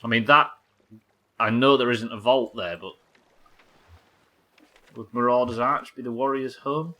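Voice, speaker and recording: A man's voice: mid-range voice, fairly even intonation, measured pace, pushed and bright. A man doing casual livestream game commentary, thinking aloud, questioning himself and swearing now and then. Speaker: live game streamer.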